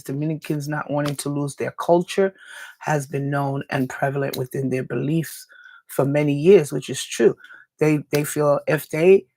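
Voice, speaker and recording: Woman talk-show host, raspy and dry-throated, earnest lecturing tone, close dry sound. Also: sits low, a little mellow, dry recording. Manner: earnest, lecturing, with small laughs and restarts. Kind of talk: live talk radio monologue answering comments.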